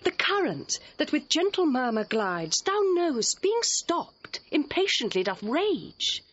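A woman's voice: high voice, sing-song, measured pace, a bit bright, dry recording, muffled recording, full character voice. An actress playing a headstrong, playful young noblewoman in love, speaking Shakespearean verse with ardent lyricism in an audio drama. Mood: ardent, dreamy.

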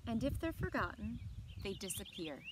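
A woman reading the part of an earnest, loving grandmother in a children's storybook, with gentle emphasis. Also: medium pitch, sing-song, moderate pace, background noise, close to an everyday voice. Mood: earnest, wistful.

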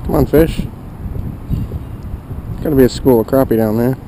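A man talks casually to himself and his camera while fishing out on open ice. His voice is medium in pitch and his talk is slangy and excitable. The action-camera audio has clear windy noise behind him. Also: normal speed, poor audio. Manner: informal, slangy, excitable at catches. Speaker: fishing vlogger. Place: outdoors on a frozen pond. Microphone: action camera worn on body. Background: wind noise.

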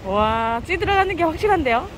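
A woman's voice: sits high, big pitch swings, a little fast. A woman hosting a fishing video, exclaiming and laughing as she films outdoors on a rocky seawall. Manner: exclaims often, laughs a lot. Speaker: fishing channel host filming. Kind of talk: excited live commentary while filming. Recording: outdoor wind and surf noise. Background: wind and waves.

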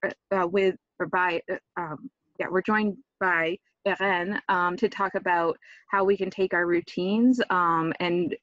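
A woman's voice: lowish voice, steady tone, a little slow, fairly bright tone, dry recording. A woman hosting a video-call webinar, introducing the speaker hesitantly with many fillers. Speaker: state world language specialist, webinar host. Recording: dull, dry lo-fi call audio.

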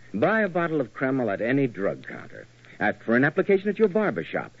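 Animated American radio announcer, male. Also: medium pitch. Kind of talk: 1940s radio advertising announcing. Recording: muffled old radio broadcast.

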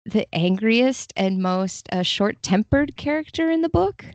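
A woman's voice: mid-range voice, lively intonation, measured pace, no echo. A woman chatting informally and enthusiastically about fiction as a podcast guest. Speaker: podcast guest, literary translator. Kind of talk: casual chat about fiction.

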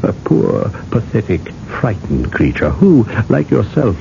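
An actor playing a shrewd, taunting dealer in eternal life in a radio drama, theatrical and deliberate. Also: male voice, lowish voice, sing-song, creaky, heavily acted. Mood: scornful. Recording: muffled, noisy old radio broadcast.

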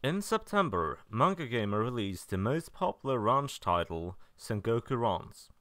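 Male review narrator, lowish pitch, very varied intonation, deadpan humor, dry recording. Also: normal speed, no echo. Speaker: video narrator reviewing game releases. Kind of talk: semi-scripted review narration.

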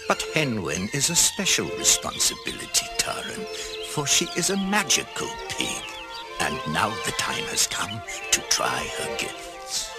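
A man's voice: on the low side, wildly swooping pitch, measured pace, over loud music. A man voicing a solemn, worried old wizard in a theatrical storybook drama.

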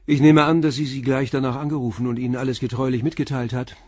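An actor playing a calm, probing police inspector with a raspy voice and measured questions in a radio crime drama. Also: male voice, medium pitch, dry recording, close to an everyday voice.

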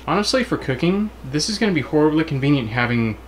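A man's voice: on the high side, big pitch swings, fast, slight background noise. A man in his thirties chatting casually to viewers while cooking in his home kitchen, joking and explaining as he goes. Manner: easygoing, jokey, explains to viewers. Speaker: homestead vlog co-host.

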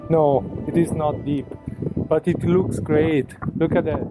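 A man's voice: fairly high pitch, fairly even intonation, unhurried, poor audio. A man, filming himself on a camera stick while hiking a rocky seaside coast, talking with many hesitant fillers. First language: Italian. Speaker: vlogger. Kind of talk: casual travel vlog. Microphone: action camera on a selfie stick. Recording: background music.

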